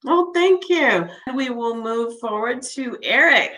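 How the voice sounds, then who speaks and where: A woman's voice: on the high side, expressive, slow pace, sharp tone. A woman hosting a group meeting, moderating and putting questions to the members. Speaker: meeting host.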